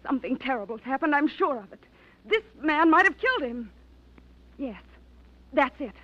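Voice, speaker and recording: An actress playing Helen, a wealthy young woman who is sharp, suspicious and accusing, in a 1940s radio drama. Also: high voice, animated, moderate pace. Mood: distraught. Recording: dull vintage radio recording.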